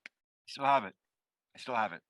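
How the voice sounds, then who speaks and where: A man plays a washed-up Hollywood director full of needy bluster. His forceful, bright voice swings widely in pitch. He is theatrical and foul-mouthed, as acted in a screenplay table read. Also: expressive, moderate pace, no room sound, lightly acted. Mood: desperate.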